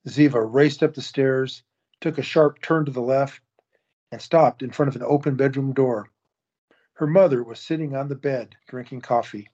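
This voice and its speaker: A man narrates an audio drama in a steady, measured voice of middle pitch. The recording is slightly dull and muffled.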